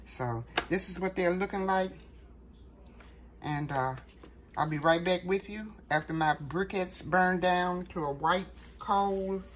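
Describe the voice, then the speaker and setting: A woman's voice: fairly low pitch, slow pace. A middle-aged woman hosting a casual home cooking demonstration, talking in a folksy way and checking in with the viewer. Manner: folksy, often ending statements with "okay?". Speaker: home cooking show host. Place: home kitchen, later outdoors at a grill at night. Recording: noisy home video, clear background noise.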